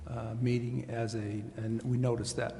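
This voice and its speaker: A man speaking as a city official at a public meeting in a formal, measured and hesitant way, choosing his words carefully. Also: mid-range voice, little pitch movement, gravelly, dark tone. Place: large indoor council chamber. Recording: echoey meeting chamber, faint hiss.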